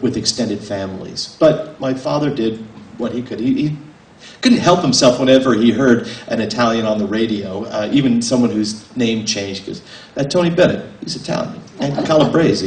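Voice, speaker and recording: A man in his forties, an author, giving a casual, halting talk with many fillers and restarts to a small audience in a library. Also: mid-range voice, slight background noise. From United States. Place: library room, indoors. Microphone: table mic on a stand, filmed from a distance. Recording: roomy, mic at a distance.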